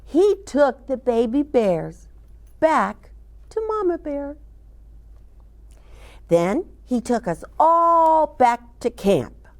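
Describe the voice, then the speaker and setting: A woman hosting a children's story time, reading aloud and chatting in an animated, sing-song way, often putting questions to her young listeners. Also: high voice, extremely slow, long pauses. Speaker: children's storyteller and show host.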